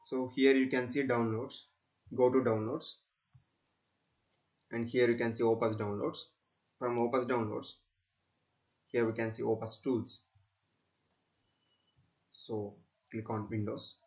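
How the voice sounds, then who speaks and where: A man narrates a step-by-step software tutorial as a student presenter, in halting, repetitive instructional phrases. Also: mid-range voice, flat delivery.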